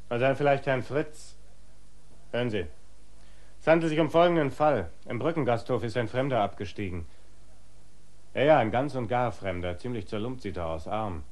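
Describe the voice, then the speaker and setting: A young actor in a radio play voicing a steward's son who talks down to people in an officious, arrogant way. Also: male voice, mid-range voice, natural voice. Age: young adult.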